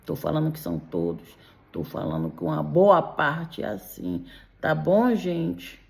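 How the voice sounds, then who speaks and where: Slow-talking, low-pitched middle-aged woman. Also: sing-song, creaky. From Belgium. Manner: emphatic, admonishing, with frequent tag questions. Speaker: vlogger giving warnings to Brazilian migrants. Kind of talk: direct-to-audience opinion monologue.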